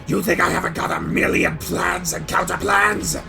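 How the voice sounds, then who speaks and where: A man playing a vengeful, menacing comic-book supervillain in a fan dub, theatrical and forceful, over music. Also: high voice, fairly even intonation, normal speed, creaky, exaggerated voice. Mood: gloating menace. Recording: loud music behind.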